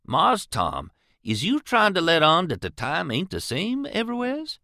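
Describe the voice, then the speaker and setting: Earnest, devout older riverman, a man putting it on; high, lively drawl, dry booth sound. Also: moderate pace, no room sound, full character voice. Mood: puzzled. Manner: pleading, drawling, questioning. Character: older male Southern riverman, earnest and pious.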